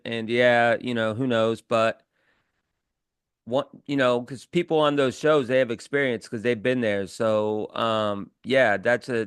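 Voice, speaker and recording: A man's voice: medium pitch, a bit bright, clean tone, no echo. A man hosting a podcast interview, talking in a loose, rambling way full of fillers. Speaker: podcast host.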